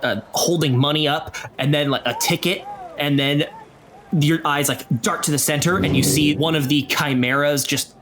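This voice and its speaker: A man narrating an improvised tabletop game as game master, describing scenes in a halting, cinematic way. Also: on the high side, steady tone, noisy background. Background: music.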